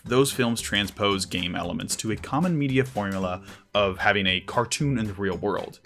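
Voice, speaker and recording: Animated man narrating a video essay. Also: on the low side. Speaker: video host and reviewer. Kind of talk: scripted video essay commentary.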